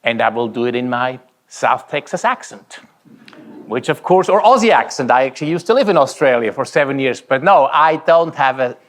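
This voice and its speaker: Animated Swiss-German accented male keynote speaker. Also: medium pitch. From Switzerland. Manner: dramatic, emphatic storytelling with deliberate short phrases. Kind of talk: rehearsed motivational conference keynote.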